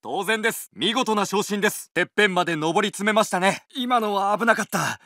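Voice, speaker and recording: A battle-hardened Japanese soldier from a war video game, with a strikingly high voice for a male. He is fierce and dutiful, delivering clipped, urgent commands and callouts. The sound is dry, with no room around it. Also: a little fast, sharp tone, no room sound. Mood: composed, respectful.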